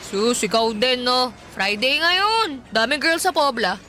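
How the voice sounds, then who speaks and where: A woman's voice: high voice, lively intonation, unhurried, bright, forceful tone, a little hiss or hum, exaggerated voice. A woman playing a heartbroken, stubborn young tomboy daughter in a radio drama, talking casually and expressively. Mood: breezy, casual. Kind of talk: Tagalog radio drama dialogue.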